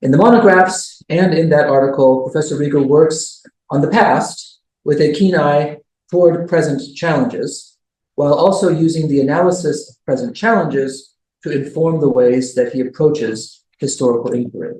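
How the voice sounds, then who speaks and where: A man giving a formal introduction of a guest lecturer in a measured way, with filler ums. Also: fairly high pitch, darkish tone, echoey room, rough recording. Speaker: event host introducing the speaker.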